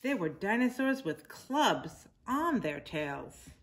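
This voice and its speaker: A woman in her forties reads a picture book aloud for young children at an unhurried, drawn-out pace. She emphasizes simple words and drops in asides to her listeners. Her pitch is average, and it is a living-room recording with faint noise behind her. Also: big pitch swings. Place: living room at home, indoors.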